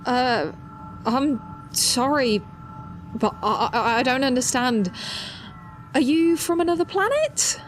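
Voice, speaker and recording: A woman's voice: high-pitched, expressive, slow, pauses often, a little hiss or hum. A woman playing a nerdy, curious, snarky teenage inventor in an audio drama. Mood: curious, awed.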